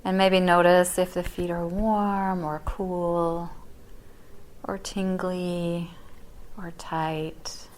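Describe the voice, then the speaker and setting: A woman yoga teacher guiding a body scan meditation in a yoga class, speaking softly and gently, her words often trailing into near-whispers. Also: fairly low pitch, level tone, drawn-out words, slight background noise.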